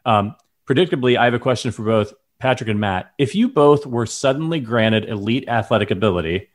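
A man's voice: mid-range voice, animated, a little fast. A man co-hosting a podcast in casual, playful chat, often laughing. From lives in Chicago. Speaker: podcast co-host.

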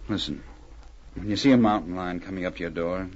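A stubborn, hot-headed frontier miner acted by a man speaks bluntly and a little low. It is old radio drama, muffled and faintly hissy. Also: a little fast, natural voice. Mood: gruff, indignant. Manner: blunt, folksy frontier talk. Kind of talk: 1940s radio drama acting.

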